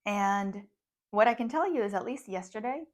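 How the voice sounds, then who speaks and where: Woman in her thirties, psychologist and coach, first language Russian; reflective talk to camera, quick laughs between thoughts. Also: medium pitch, expressive. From grew up in the United States from age ten, born abroad.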